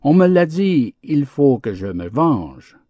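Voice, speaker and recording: A man's voice: mid-range voice, very animated, slow pace, warm tone, no echo, clean studio sound, pitched above the performer's own voice. A man voicing a hungry, cruel male wolf in a storybook fable, accusing and imperious. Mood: vengeful.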